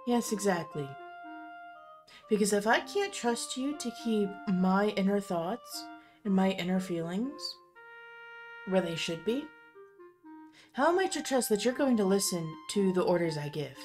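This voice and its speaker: A woman's voice: mid-range voice, lively intonation, measured pace, long pauses, a little mellow, natural voice. A woman playing an angry, defiant heroine in a fantasy audio drama, commanding and emphatic. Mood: firm, demanding. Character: angry, defiant woman hero laying down rules. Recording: music bed under voice.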